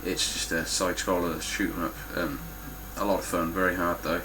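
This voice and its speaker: A man's voice: low voice, level tone, moderate pace, bright, forceful tone, slight background noise, low-quality recording. A man with a stuffy, nasal voice giving a casual, blunt spoken review of his game collection, with frequent ums and some swearing.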